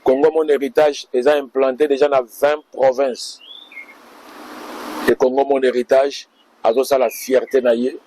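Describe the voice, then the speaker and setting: A man's voice: medium pitch, little pitch movement, unhurried, mellow, a little hiss or hum, rough recording. A man answering interview questions as a party official, speaking in a speechlike way to the public.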